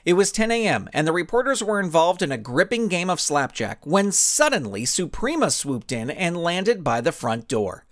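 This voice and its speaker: A man reading his own children's story aloud in a playful, animated storytelling manner. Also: fairly high pitch, moderate pace, hardly any pauses. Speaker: author and narrator. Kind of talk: storybook narration.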